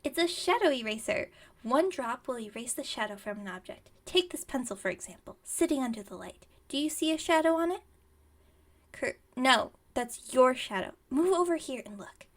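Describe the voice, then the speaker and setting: Woman as scatterbrained young witch running a shop: high voice, theatrical salesmanship, chatty rambling, ASMR roleplay. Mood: eager, salesy. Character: flustered, dramatic, scatterbrained young female witch shopkeeper.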